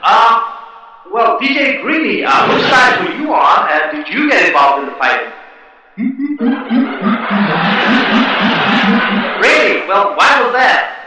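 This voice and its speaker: A man playing an American tabloid talk show host in a spoof radio play, asking halting questions and moralising. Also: high voice, big pitch swings, over a noisy crowd. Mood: excited, questioning. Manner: hesitant, filler-laden questioning, then moralising. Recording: very echoey, distant, heavy noise, clicks.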